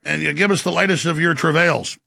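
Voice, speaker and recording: A man's voice: on the high side, fairly quick, creaky, sharp tone, no echo. A man hosting a political commentary show, ranting in a heated, sarcastic way. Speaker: host.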